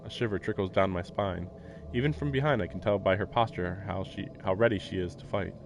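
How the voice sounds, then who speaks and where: A man's voice: low-pitched, brisk, noisy background. A man streaming a visual novel, reading the text aloud and adding casual commentary. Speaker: game streamer. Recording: game music behind the voice.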